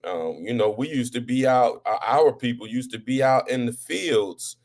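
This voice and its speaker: A man hosting a live talk show, talking casually to viewers and often repeating words and restarting, rising louder when impassioned. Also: mid-range voice, normal speed.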